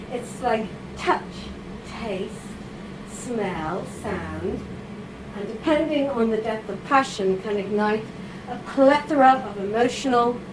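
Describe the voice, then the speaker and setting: A woman giving a chatty, informal talk to a live audience, full of asides, fillers and quick "okay?" checks. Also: on the high side. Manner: chatty, animated, with frequent fillers and tag questions. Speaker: writer giving a reading. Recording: audible background noise.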